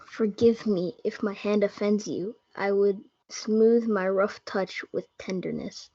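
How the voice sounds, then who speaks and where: A woman's voice: medium pitch, steady tone, takes it slowly, mellow, dry recording. Female performer as lovestruck young man, earnest, yearning, student stage reading, close dry sound, dull recording. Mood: smitten. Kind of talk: student reader's theatre performance. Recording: dull lo-fi audio.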